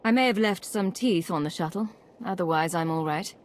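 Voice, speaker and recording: An actress playing a self-reliant secret agent in a video game, controlled, dry and terse. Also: female voice, fairly low pitch, fairly quick, slight background noise, lightly acted. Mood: cool, composed. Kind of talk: video game cutscene acting.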